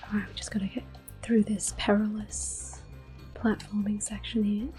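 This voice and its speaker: Female ASMR game host: breathy whisper, dark mellow tone, unhurried gentle explanations, music behind. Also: medium pitch, flat delivery. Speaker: ASMR gaming video host. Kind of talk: soft-spoken ASMR game commentary with whispering. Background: video game music.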